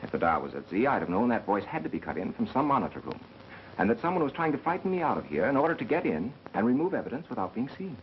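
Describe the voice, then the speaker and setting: Man acting clever wry 1930s detective type, animated voice, muffled old movie sound. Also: medium pitch, slight background noise, lightly acted. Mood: dry, assured. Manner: wry, brisk deductive retorts. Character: clever, dry, self-assured amateur sleuth. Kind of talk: 1930s mystery film acting.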